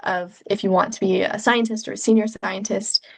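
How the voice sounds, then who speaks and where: A young Canadian woman, a PhD researcher, giving thoughtful, measured answers in an interview with frequent ums. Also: medium pitch, fairly even intonation, vocal fry, low-quality recording.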